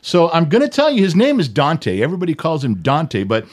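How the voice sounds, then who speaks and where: A man's voice: on the high side, huge pitch swings, brisk. A man hosting a casual comedy interview podcast in a studio, talking in an informal, jokey way. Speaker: interview show host.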